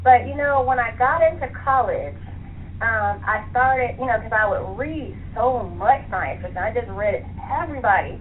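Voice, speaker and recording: A woman's voice: on the high side, lively intonation, normal speed. A woman being interviewed as an author, chatting in an enthusiastic, conversational way over a phone line. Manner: chatty, enthusiastic, laughs easily. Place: phone call to internet radio show. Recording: tinny phone line with clear hiss.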